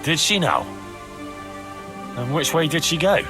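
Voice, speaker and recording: A man's voice: on the high side, lively intonation, unhurried, over music. A man playing a hard-nosed young medieval man-at-arms in a video game, blunt, sarcastic and pressing. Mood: scornful, angry. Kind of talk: video game cutscene acting.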